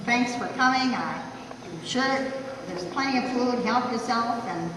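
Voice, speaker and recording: A woman's voice: fairly high pitch, measured pace, background noise. An eighty-year-old woman speaking informally to guests as the guest of honor at her party. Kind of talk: informal thank-you speech and chat. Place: large room at a birthday party. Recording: echoey hall, distant, loud crowd chatter.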